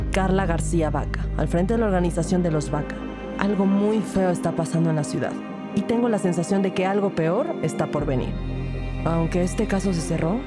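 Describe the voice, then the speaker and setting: An actress playing a police investigator who narrates her case in a grave, measured, scripted audio-drama style. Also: on the low side, close to an everyday voice.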